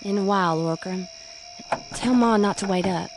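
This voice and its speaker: An actress playing an earnest, curious young country bride-to-be in a radio drama, gentle and hesitant. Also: female voice, mid-range voice, normal speed, noisy background, lightly acted. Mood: anxious, tender.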